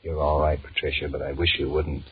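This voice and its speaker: A man's voice: rumbling low, flat delivery, a little fast. An actor in a 1940s radio drama playing a weary, restless married businessman who grumbles and wheedles as he flirts with his secretary. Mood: flirtatious, grumbling. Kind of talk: 1940s radio drama acting, inner monologue and dialogue. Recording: muffled, hissy 1940s radio recording.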